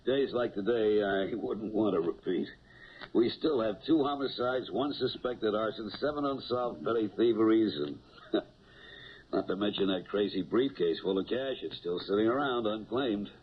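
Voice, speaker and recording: An actor playing a shrewd, steady police lieutenant in a 1970s radio drama. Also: male voice, fairly low pitch, normal speed, narrow, tinny audio, close to an everyday voice. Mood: weary, chatty. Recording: noisy, thin old radio broadcast.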